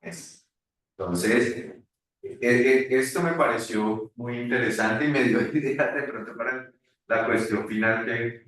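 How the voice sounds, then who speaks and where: A man teaching at a university, talking in a halting way with many restarts during an online class discussion. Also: mid-range voice, fairly bright tone. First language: Spanish. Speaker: university lecturer. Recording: echoey room far from mic.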